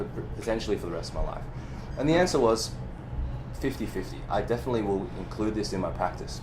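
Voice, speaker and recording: A man's voice: deep voice, poor audio. A man, a movement coach whose first language is Cantonese, talking animatedly in a casual podcast interview, with frequent restarts and the odd swear word.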